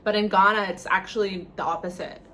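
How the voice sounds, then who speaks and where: A woman's voice: medium pitch, fairly even intonation, a little fast, a bit bright, a little hiss or hum. A young woman with a Canadian accent talking casually to camera in a conversational, opinionated way, often saying "like" and "you know". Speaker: vlogger.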